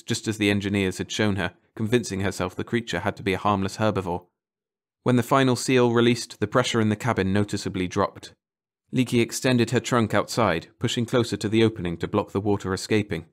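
A man reading a scripted story as an audiobook narrator. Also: fairly low pitch.

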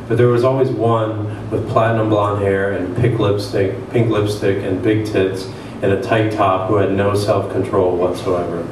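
A man in his thirties reading a comic novel aloud dramatically at a stage event. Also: lowish voice. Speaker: reader at a literary event. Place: stage with curtains before an audience. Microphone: microphone on a table stand. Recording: echoey hall, distant, faint noise.

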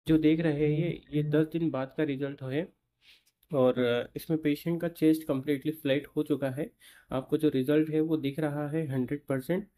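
A young man, a clinic doctor, steadily explaining a patient's post-surgery result to the camera with filler pauses in a clinic room. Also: on the high side, steady tone, warm tone.

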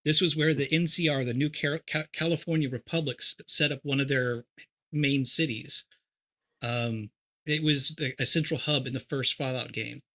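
A man in casual chat on a stream, calmly explaining game lore in detail. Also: mid-range voice, moderate pace. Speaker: co-host guest.

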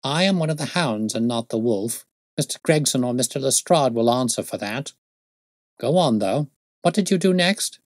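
A man voicing a brilliant, impatient gentleman detective in an audiobook story, speaking in a brisk, commanding, articulate way. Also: medium pitch, expressive, no room sound, clean studio sound, voice pushed up, natural voice. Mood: probing, amused.

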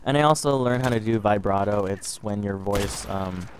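A man's voice: fairly low pitch, moderate pace, slight background noise. A young man from Canada, a little sick, telling a story casually over gameplay, rambling and restarting often. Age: young adult. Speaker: gaming vlogger.

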